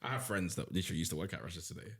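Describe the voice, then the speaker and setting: A man hosting a casual podcast, teasing his guest, laughing often and dropping into quiet asides. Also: low voice, lively intonation, racing. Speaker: podcast host answering questions.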